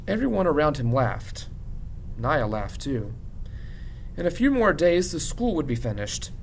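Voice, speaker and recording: A man reading a children's novel aloud like a teacher narrating to students, with low rumble behind him. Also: very animated, measured pace, low-quality recording. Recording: clear background rumble noise.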